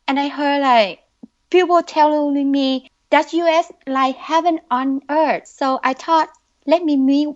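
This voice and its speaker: A young woman with a Vietnamese accent, speaking slowly and earnestly as a podcast guest. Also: high voice. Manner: slow, careful, earnest speech. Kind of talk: podcast interview answers. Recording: dull lo-fi audio.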